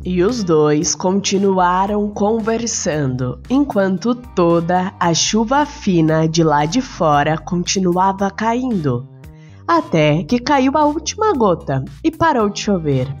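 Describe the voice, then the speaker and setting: A woman's voice: mid-range voice, very animated, slow pace, a little mellow, lo-fi sound, soft background music. A woman with a Brazilian accent, a storyteller and podcast host narrating and chatting to children.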